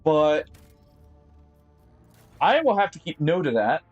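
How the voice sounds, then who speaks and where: Male game streamer, light higher voice, relaxed think-aloud commentary full of fillers. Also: takes it slowly, muffled recording, faint music behind. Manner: friendly sign-off to viewers. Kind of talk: live gameplay commentary.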